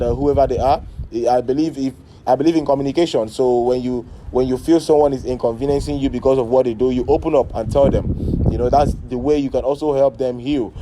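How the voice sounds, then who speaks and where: A man with a Nigerian accent speaks in an interview, his tone very dark and mellow. He is reflective and pauses often on fillers, at a middle pitch, with a faint hiss behind him. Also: low-quality recording. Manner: thoughtful, hesitant with many fillers. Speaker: artist contributor.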